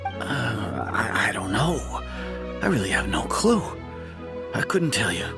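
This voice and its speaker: Creaky, weary village merchant. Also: male voice, lowish voice, sing-song, takes it slowly, music in the background, exaggerated voice. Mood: flustered, apologetic. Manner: hesitant, world-weary, conversational. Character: weary male village merchant, plain-spoken, apologetic, kindly. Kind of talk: video game dialogue acting.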